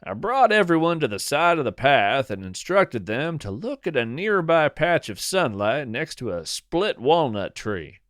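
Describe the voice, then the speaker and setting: A man's voice: a little high, big pitch swings, measured pace, hardly any pauses, a bit bright, dry recording. Guy hosting a story podcast, takes his time, playful and dry delivery, no room sound. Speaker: podcast host and narrator. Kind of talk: scripted first-person storytelling.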